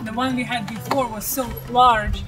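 A woman's voice: on the high side, steady tone, moderate pace, over music. Giggly young woman on a sailboat. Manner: giggly, chatty. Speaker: sailing vlog co-host. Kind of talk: casual vlog talk. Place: outdoors on a sailing catamaran at sea.

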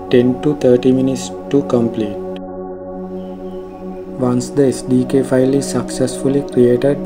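A man reading scripted tutorial narration in a formal, measured, instructional way. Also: medium pitch. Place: voice-over for a screen recording.

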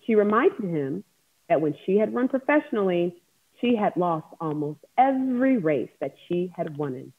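A woman's voice: lowish voice, very animated, normal speed, slightly rough. A woman in her thirties hosting a children's story time over a video call, with an encouraging, teacherly way of talking. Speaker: author and event host. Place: room at home, indoors. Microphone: video call, wireless earbud. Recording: dull video-call audio.

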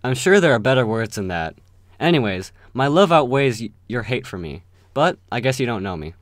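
A man's voice: mid-range voice, big pitch swings, fairly quick, dry recording, studio quality, pitched below the performer's own voice, natural voice. A man playing an earnest, anxious young sock puppet peacemaker in a melodramatic manner.

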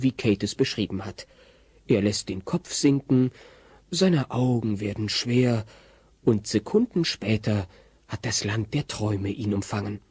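A man narrating a scripted story in a German audio drama, telling a family comedy vividly in a husky voice. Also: fairly low pitch, darkish tone, no room sound, full character voice.

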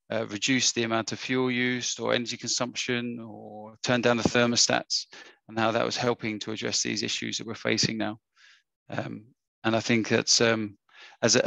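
A man with a husky voice, hosting an online webinar in a casual, hesitant way with many fillers and restarts, speaking over a video call. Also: medium pitch, fairly bright tone, no room sound, low-quality recording. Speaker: webinar host. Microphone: webcam or headset on a video call.

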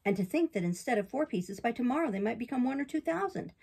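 A woman voicing a sly, flattering male fox in a storybook reading, speaking with smooth, theatrical sympathy. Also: on the high side, fast, voice pushed up, heavily acted. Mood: wheedling.

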